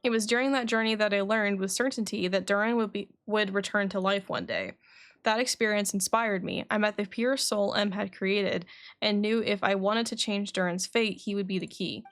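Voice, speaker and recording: A woman's voice: medium pitch, animated, moderate pace, soft background music. A woman livestreaming a game, chatting informally and reading game dialogue aloud. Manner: chatty, informal, says "like" often.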